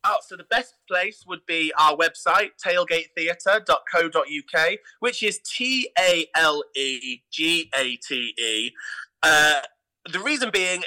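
A man with a very bright, forward voice pitched on the high side. He chats casually as an interview guest, with an enthusiastic and effusive manner, like a theatre director keen on his work. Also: low-quality recording.

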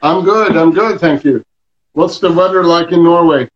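A man hosting a casual interview chat, speaking haltingly with many fillers and restarts. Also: fairly high pitch, reverberant, highs cut off, a crowd in the background. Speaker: podcast host.

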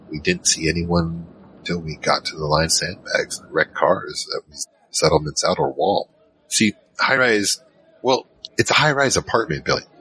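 A man's voice: deep voice, pushed and bright, slight background noise, poor audio. A man playing a curious, hesitant adventurer who recounts his story in a conversational first person for an audio drama. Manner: conversational, hesitant, searching for words. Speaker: narrator and lead character. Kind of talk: in-character first-person scripted narration.

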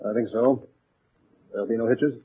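An actor playing a brusque, wealthy client in a 1940s radio drama, clipped and demanding. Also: male voice, mid-range voice, steady tone, moderate pace, slightly rough, natural voice. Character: brusque, wealthy male client arranging fake death. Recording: muffled lo-fi 1940s radio recording.

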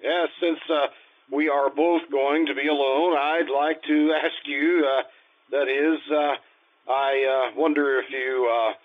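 A man's voice: fairly high pitch, steady tone, slow pace, phone-quality sound. A man playing a pompous, easily flustered middle-aged school principal in an old-time radio comedy, speaking formally and hesitantly. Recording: thin, band-limited radio-style recording.

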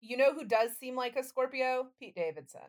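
A woman's voice: high-pitched, a little fast. A woman co-hosting a podcast, chatting in a casual, comedic way with a playful, slangy manner. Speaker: podcast host.

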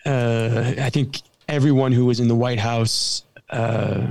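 Man, interview guest, gravelly voice, dry sound, relaxed, hesitant. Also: mid-range voice, slow pace, dry recording. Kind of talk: casual interview answer.

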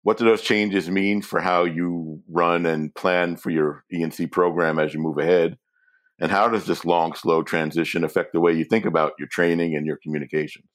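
A man interviewing a guest, reading prepared questions in a deliberate, formal way. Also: low voice, steady tone.

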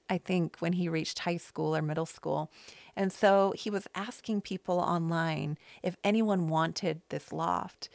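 American woman podcaster with a creaky voice. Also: lowish voice, dry recording. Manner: rambling, self-deprecating storyteller. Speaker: podcast host. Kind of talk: casual conversational podcast chat. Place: small loft space at home.

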